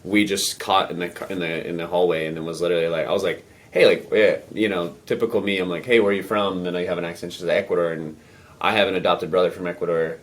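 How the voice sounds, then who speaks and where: A man in his thirties, a restaurant owner telling stories on a podcast in an animated, rambling way. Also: deep voice, fast. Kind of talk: casual storytelling.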